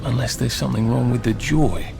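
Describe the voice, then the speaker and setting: A man's voice: mid-range voice, brisk, vocal fry, over music. A man with an English accent playing an anxious, polite, dryly witty video game hero who muses to himself hesitantly. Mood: musing, wry. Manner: hesitant, understated, wry. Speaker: player character in a video game. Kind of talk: video game voice acting, musing aloud to himself.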